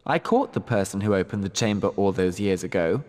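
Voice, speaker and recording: A man's voice: low-pitched, lively intonation, a little fast, darkish tone, pitched below the performer's own voice. Man voicing grave, reverberant magical diary. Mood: ominous. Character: mysterious diary of a schoolboy, grave and confiding. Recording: long hall reverb.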